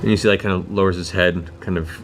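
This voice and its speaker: A man's voice: low voice, fairly even intonation, quick pace, a little hiss or hum. A man narrating a tabletop fantasy game as game master, with vivid descriptions and casual asides. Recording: loud music behind the voice.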